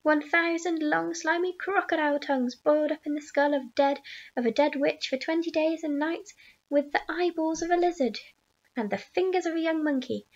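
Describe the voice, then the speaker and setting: A strange little old man leans in close, his slow, creaky voice dropping to a conspiratorial whisper, as a woman narrator puts him on. The gravel in his throat is thick, and he coaxes like he is sharing a secret. The storybook recording sounds a little dull and muffled. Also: on the high side.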